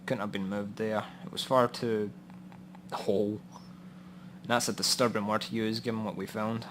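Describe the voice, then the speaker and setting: A man's voice: lowish voice, fairly even intonation, normal speed, close to an everyday voice. Blunt police contact over background noise, man acting. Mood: grim, wry. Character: matter-of-fact, grimly wry male police records contact. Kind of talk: noir radio drama dialogue. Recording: audible background noise and ambience.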